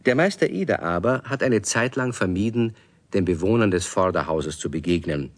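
A man's voice: deep voice, lively intonation, normal speed. A man giving scripted narration as the storyteller of a children's radio play.

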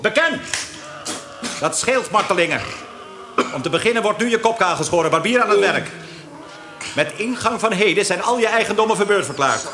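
A man's voice: sits high, animated, moderate pace, pauses often. An actor playing a menacing, gloating interrogator who commands and taunts his prisoner in a period radio drama. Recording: echoey room, loud background noise.

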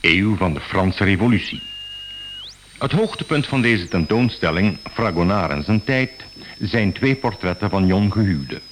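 A very low-pitched male reporter gives scripted reportage in a radio drama. His delivery is formal and composed. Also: expressive, moderate pace, a bit bright, a little hiss or hum. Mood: composed, informative.

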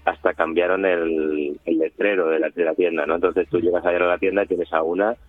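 Deep-voiced man on a phone-like line. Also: little pitch movement, a little fast, dry recording. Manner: hesitant, many restarts. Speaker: radio documentary producer. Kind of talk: interview talk.

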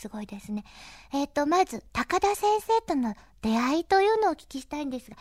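Fast-talking woman host with a highish voice. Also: a little mellow, no echo, studio quality. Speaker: interviewer and DJ host. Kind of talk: chatty, polite radio-style interview hosting.

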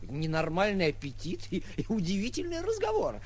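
An actor playing a polite, amused servant with a courteous, theatrical delivery in a 1940s radio comedy. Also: male voice, high-pitched, wildly swooping pitch, moderate pace, exaggerated voice. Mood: amused, bemused. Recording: noisy old radio recording.

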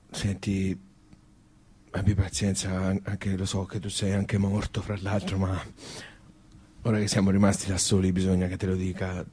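An actor playing a flustered, plain-spoken Tuscan man in a tragicomic stage piece, halting and repeating himself. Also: medium pitch, fairly bright tone, close to an everyday voice. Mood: hesitant, baffled. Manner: halting, repetitive, blurting.

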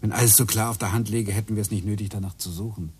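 A man's voice: fairly low pitch, steady tone, rapid-fire, a little mellow, breathy edge. An actor playing a middle-aged husband in a radio play, earnest and eager as he lectures his wife about hidden connections. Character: obsessive husband hunting coincidences.

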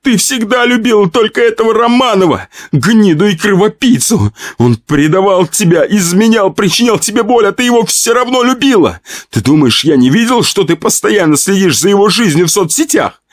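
Man acting a high-pitched whining, cowardly husband. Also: lively intonation, moderate pace, few pauses, no echo. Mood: bitter, tearful. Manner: whining, pleading, tearful. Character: cowardly, whining, jealous husband, desperate and pleading.

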